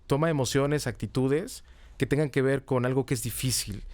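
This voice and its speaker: A middle-aged Mexican man with a mid-pitched voice talks as a podcast guest. He explains in a conversational way and often checks in with short tag questions. The sound is dry, with little room in it. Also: a little mellow, no room sound. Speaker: podcast guest, comedy teacher and writer. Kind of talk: casual conversational interview answers.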